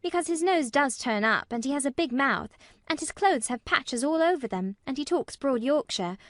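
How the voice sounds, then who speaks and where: A woman acts out a young storybook girl with a high voice. She is blunt, solemn and earnest, speaking emphatically and plainly in a dry, close sound. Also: animated, normal speed, no echo. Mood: frank. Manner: frank, matter-of-fact, emphatic. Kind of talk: acted storybook dialogue.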